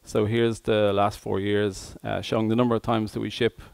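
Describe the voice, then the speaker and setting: Male tech conference speaker, very monotone, creaky voice, halting phrasing, dry close sound. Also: on the low side, no echo. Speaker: conference speaker, software engineer.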